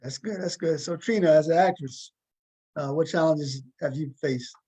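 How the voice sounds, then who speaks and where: A man with a husky voice hosting a conversational interview, supportive and a little halting as he asks. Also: fairly high pitch, mellow. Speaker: talk show host.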